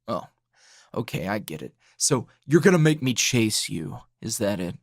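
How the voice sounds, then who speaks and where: A man playing a tired, gruff, dryly teasing hero schoolteacher in an ASMR roleplay, speaking low and gravelly in a halting, understated way. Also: no room sound, clean studio sound, heavily acted. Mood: teasing, smug. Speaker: voice actor.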